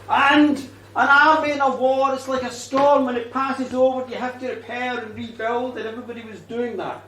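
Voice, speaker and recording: A man telling as a mournful ghost woman. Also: very high-pitched. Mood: grim. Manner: mournful, solemn first-person telling. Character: vengeful female ghost, centuries old. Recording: roomy and distant, faint noise.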